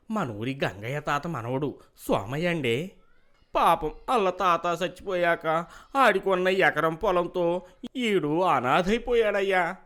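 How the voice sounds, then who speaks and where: A man's voice: fairly high pitch, fairly bright tone. A man playing a humble village farmer in a moral story, speaking deferentially in rustic dialect. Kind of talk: moral story character dialogue.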